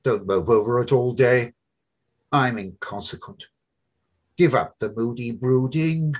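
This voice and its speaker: A man voicing a brash, mocking young male medical student in a loud, high, husky voice. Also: unhurried, natural voice. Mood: coaxing.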